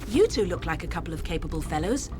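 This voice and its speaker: A woman voicing a brisk, practical female field scientist in a video game, bargaining in a friendly, businesslike way. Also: lowish voice, animated, lightly acted. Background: game music.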